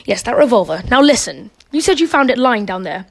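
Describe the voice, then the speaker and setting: A woman's voice: a little high, big pitch swings, a little fast, gravelly, heavily acted. A woman playing a blunt, suspicious, crooked policeman in an amateur radio play, speaking insistently.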